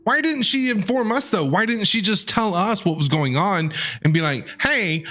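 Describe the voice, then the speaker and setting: A game streamer reacting live to a story, excitable and talking back to the characters. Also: mid-range voice, normal speed, soft background music. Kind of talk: livestream reaction commentary.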